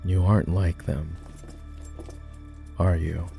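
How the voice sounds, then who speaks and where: A man playing a proud, bitter lowborn knight and war hero in a dramatic fantasy roleplay audio, with a sardonic, scornful manner. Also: little pitch movement, slow, vocal fry, warm tone, faint music behind, full character voice. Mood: softer, probing. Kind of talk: fantasy roleplay ASMR voice acting.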